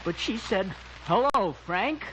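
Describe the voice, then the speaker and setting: A man's voice: sits high, animated, slow. An actor playing a glum, naive, lovesick young man in an old-time radio comedy, earnest and plaintive. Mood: upset, plaintive. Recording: muffled, noisy old-time radio recording.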